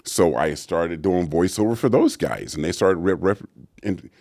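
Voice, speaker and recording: A middle-aged man with a booming, very low voice tells stories as an interview guest. His pitch rises and falls a lot as he acts out what others said. The sound is dry and close. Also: normal speed, vocal fry, dry recording. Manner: deep resonant voice, animated storytelling with acted-out quotes. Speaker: actor and voice actor guest. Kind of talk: storytelling interview answers.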